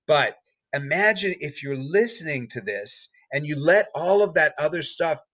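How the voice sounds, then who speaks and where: Bright-voiced man explaining things casually. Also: on the high side, animated, normal speed, no echo. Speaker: podcast guest.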